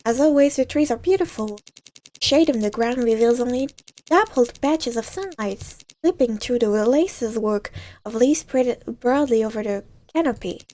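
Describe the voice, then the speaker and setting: A woman's voice: a little high, lively intonation, normal speed, dark tone. A woman reading visual novel narration aloud in a dramatic storytelling way, now and then stumbling over words.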